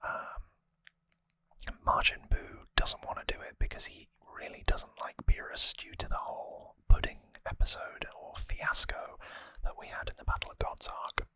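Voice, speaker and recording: An ASMR host speaks entirely in a breathy whisper, right up close to the microphone. The sound is dry and crisp, with bright, sibilant detail. The delivery is unhurried and informal, with small wry asides. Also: no echo. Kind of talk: whispered ASMR, rambling trivia recap.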